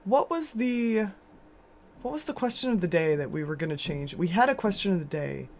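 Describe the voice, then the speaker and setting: A woman streaming and chatting casually with viewers, with a hoarse, scratchy voice from a sore throat and a rambling, chatty way of talking. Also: lively intonation, moderate pace, a little mellow. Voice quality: lower and scratchier than usual. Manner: rambling, chatty, with frequent restarts and laughs. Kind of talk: casual chat while gaming.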